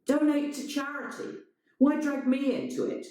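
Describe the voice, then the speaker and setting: A woman's voice: high-pitched, little pitch movement, normal speed, warm tone, pitched above the performer's own voice, natural voice. A woman voicing an angry, sneering man in a dramatised short story, indignant and accusing. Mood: scornful. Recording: strongly echoing, roomy sound.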